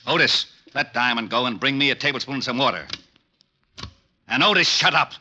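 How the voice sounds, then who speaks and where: An actor playing a gruff, exasperated middle-aged police lieutenant in a 1940s radio drama, grumbling at his friend. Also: medium pitch, a little fast, natural voice.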